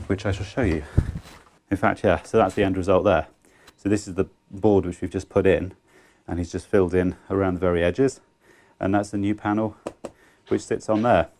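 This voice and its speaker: A British man with a fairly low voice talks like a tradesman filming a work vlog. He is casual and chatty, explaining practical details as he goes. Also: a little mellow. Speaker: tradesman installer presenting his job.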